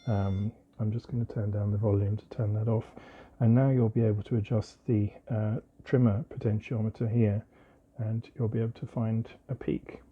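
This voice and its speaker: A man presenting an informal hands-on technical tutorial, explaining methodically in measured phrases with short pauses. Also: lowish voice, level tone, slightly rough, phone-quality sound.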